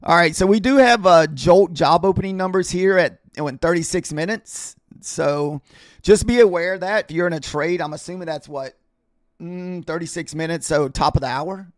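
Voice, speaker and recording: A man hosting a trading live stream, chatting loosely with viewers and clearing his throat, his voice a little scratchy from talking all week. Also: on the high side, moderate pace, no room sound, clean studio sound. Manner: rambling, chatty, talks to viewers in chat. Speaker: trading livestream host. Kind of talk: casual live-stream chat.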